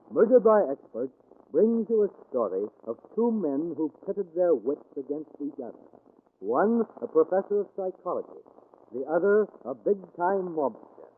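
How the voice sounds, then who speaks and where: An actor playing a poised, formal mystery host, giving theatrical scripted narration in 1940s radio style. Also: male voice, a little high. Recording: muffled, band-limited old radio recording.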